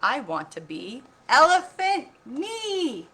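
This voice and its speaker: A woman's voice: high-pitched, wildly swooping pitch, slow pace, sharp tone, voice pushed up, heavily acted. A woman voicing a timid young elephant bravely standing up for himself in an earnest, emphatic storybook read-aloud. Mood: nervous but brave.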